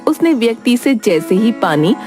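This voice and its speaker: A woman's voice: on the high side, big pitch swings, normal speed, music in the background. A woman narrator reading a scripted moral tale in a storytelling style.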